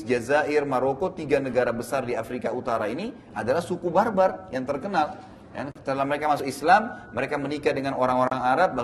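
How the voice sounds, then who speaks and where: Higher-voiced male preacher, noisy recording. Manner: explanatory storytelling with frequent confirming tags. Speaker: Islamic preacher and teacher. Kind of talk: religious lecture retelling prophetic history.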